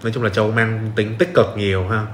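A man's voice: on the low side, fairly even intonation, fast. A man with a southern Vietnamese accent hosting a casual podcast interview, asking questions and giving short agreeing replies. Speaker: podcast host. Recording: roomy sound with faint noise.

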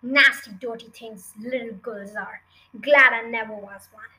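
A woman's voice: on the high side, unhurried, low-quality recording. A woman voicing a bullying, scornful headmistress who barks and snorts with contempt in a storybook reading. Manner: barking, snorting, contemptuous, loud. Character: bullying, scornful adult headmistress, giant and bellowing.

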